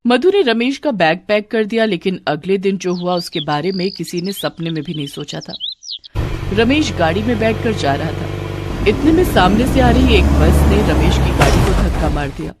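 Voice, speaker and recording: A woman narrating a children's cartoon story in a scripted, expressive way. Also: mid-range voice, normal speed, noisy background.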